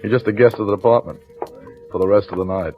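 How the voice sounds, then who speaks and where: A man's voice: on the low side, fairly even intonation, fast, over music, natural voice. A man playing a sarcastic, bossy police lieutenant in a 1940s radio crime drama, clipped and commanding. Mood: bossy, impatient. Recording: muffled vintage radio recording, narrow phone-like band.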